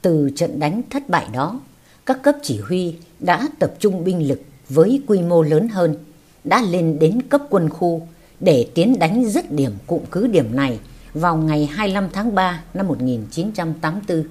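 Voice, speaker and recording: A woman with a husky voice reading a war memoir as scripted audiobook narration. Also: lowish voice, big pitch swings, normal speed, a little mellow.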